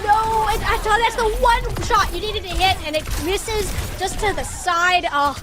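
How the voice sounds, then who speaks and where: Very high-pitched woman casting esports. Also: measured pace, over music. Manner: playful, colloquial, exclamatory. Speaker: esports caster. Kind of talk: live video game play-by-play commentary.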